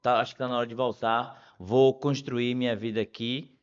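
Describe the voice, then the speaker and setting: A middle-aged man with a Bahian Brazilian accent telling stories casually as a podcast guest, often pausing and repeating words as he thinks. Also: mid-range voice, flat delivery, clear, resonant tone, highs cut off. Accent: Bahian Brazilian Portuguese. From Salvador, Bahia, Brazil. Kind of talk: casual conversational storytelling.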